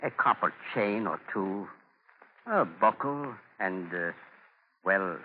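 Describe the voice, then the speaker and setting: An actor playing a dignified, compassionate Native man, speaking earnestly in measured, formal words, in 1940s radio drama style. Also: low-pitched, lively intonation. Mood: earnest, friendly. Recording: tinny, noisy 1940 radio recording.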